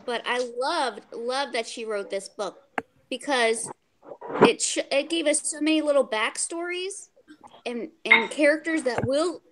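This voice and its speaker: Girly high voice, woman in a casual book club chat, lots of noise and clicks on the video call. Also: lively intonation, measured pace.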